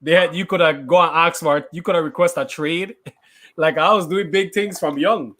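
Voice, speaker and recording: A man's voice: a little high, normal speed, a bit bright. Laid-back man around forty. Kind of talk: casual chat.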